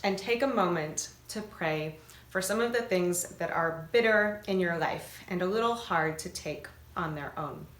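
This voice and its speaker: A woman with a slightly low voice leads a guided prayer as a practical demonstration. She speaks very slowly, in gentle, measured instructions with reflective pauses. The room is echoey around her voice. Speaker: worship leader. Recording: roomy echo, noise, kitchen clinking.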